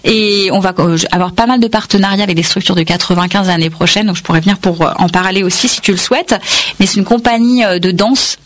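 A woman's voice: mid-range voice, quick pace. A woman dancer, a radio guest, explaining her dance company's work in an enthusiastic, explanatory way. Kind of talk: interview answers.

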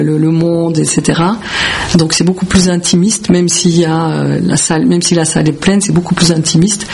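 A woman from Belgium with a creaky voice, answering as a musician in a radio interview in halting, repetitive phrases. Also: deep voice, darkish tone, a little hiss or hum. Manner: hesitant, halting phrasing with repeated words. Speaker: musician, founding member of a band.

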